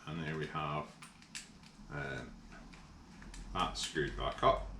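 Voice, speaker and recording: A middle-aged Scottish man casually explaining a kit installation in a workshop, relaxed and full of ums. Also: a bass voice, takes it slowly. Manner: relaxed, hesitant with frequent ums. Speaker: workshop vlogger. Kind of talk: casual how-to demonstration. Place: large indoor workshop. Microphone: camera mic from overhead. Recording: echoey workshop with faint hum.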